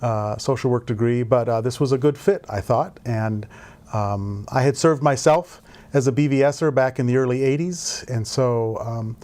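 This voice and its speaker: A middle-aged man talks through his background in an easy, unhurried interview. His voice sits at an ordinary middle pitch. He pauses often and fills the gaps with "uh" and "um". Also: darkish tone. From grew up in Indonesia and Indiana. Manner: thoughtful, rambling with fillers. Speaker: volunteer program director. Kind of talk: conversational interview.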